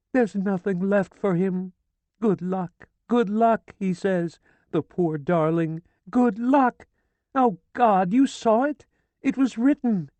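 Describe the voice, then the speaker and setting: A man's voice: warm tone, no echo. A man reading an audiobook, voicing a hysterical older woman who wails, pleads and screams in grief. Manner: shrill, frantic pleading and screaming. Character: hysterical grieving older woman, wailing and shrieking. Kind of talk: audiobook character voice.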